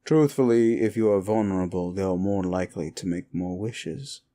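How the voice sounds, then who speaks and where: A man playing a demon who grudgingly comforts a human, in an intimate ASMR roleplay with a deliberate, dryly menacing but soothing delivery. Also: low voice, mellow. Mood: dry, teasing menace. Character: male demon, sinister soul-eater grudgingly soothing a human.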